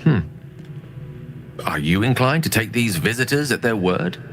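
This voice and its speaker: A man's voice: fairly low pitch, big pitch swings, unhurried, background noise. A man playing a courteous, bookish wizard in a video game, eloquent and scholarly. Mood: thoughtful. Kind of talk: video game character acting. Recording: music behind the voice.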